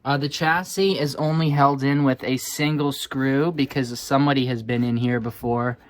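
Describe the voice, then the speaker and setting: A man's voice: mid-range voice, fairly even intonation, moderate pace. A man giving casual, hands-on repair commentary as a hobbyist, thinking aloud in an informal way. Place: indoors.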